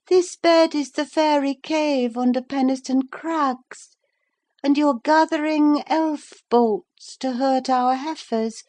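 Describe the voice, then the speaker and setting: A woman reading an audiobook, voicing a delirious, frightened young woman in a high, breathless, anxious voice. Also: dark tone. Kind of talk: audiobook character voice.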